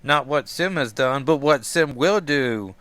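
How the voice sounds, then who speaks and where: A man's voice: mid-range voice, animated, unhurried, fairly bright tone, no room sound. A man voicing a sly, servile male demon who gloats and refers to himself by name. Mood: sinister.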